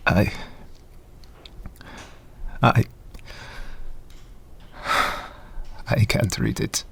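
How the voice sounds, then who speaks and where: An actor playing an earnest, wronged farmer in an audio drama, speaking plainly and haltingly but insistently. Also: male voice, lowish voice, steady tone, gravelly, slight background noise, exaggerated voice. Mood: upset, ashamed. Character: earnest, wronged, illiterate male farmer, slow-spoken.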